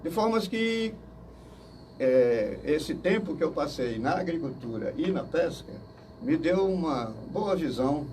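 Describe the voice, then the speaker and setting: An older man from Manaus, answering interview questions slowly and hesitantly, with many fillers and restarts. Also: medium pitch, darkish tone. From Manaus, Brazil. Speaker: interview guest. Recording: distant mic with faint noise.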